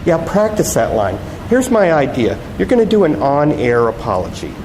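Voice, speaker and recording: Man playing male newsroom boss, dry sarcasm, deadpan, novel reading, hall reverb, noisy background. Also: a little high, normal speed, pitched above the performer's own voice. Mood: wry, firm. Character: dry, sardonic male TV news director. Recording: echoey hall with loud background noise.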